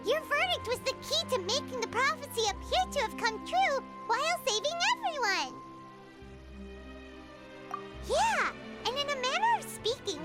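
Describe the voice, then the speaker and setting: A woman voicing a tiny, curious floating fairy companion in a game, speaking of herself in the third person and asking eager questions. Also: very high-pitched. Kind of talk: game character dialogue. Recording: game audio with loud music behind. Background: music.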